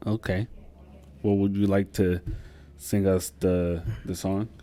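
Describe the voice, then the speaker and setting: A man's voice: deep voice, little pitch movement, slow pace, dark tone. A man hosting a talk show, asking questions in a hesitant, casual way with many fillers. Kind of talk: casual interview.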